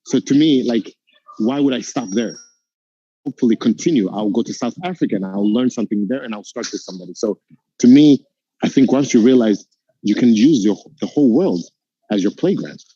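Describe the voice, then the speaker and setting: Earnest Ugandan-born man, dark voice. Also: medium pitch, lively intonation, a little fast, slightly rough, dry recording, muffled recording. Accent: American-tinged. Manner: earnest, motivational. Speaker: guest entrepreneur. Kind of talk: reflective interview answer.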